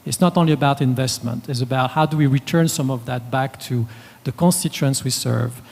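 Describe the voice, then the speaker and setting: A man on a conference panel, giving a measured, businesslike presentation point by point. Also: mid-range voice, gravelly, darkish tone. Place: conference hall. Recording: long reverb of a conference hall.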